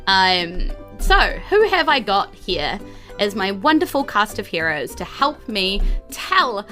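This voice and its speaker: A woman with a New Zealand accent, chatting as host and game master of a live tabletop show in a bubbly, expressive way. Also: medium pitch, takes it slowly, faint music behind.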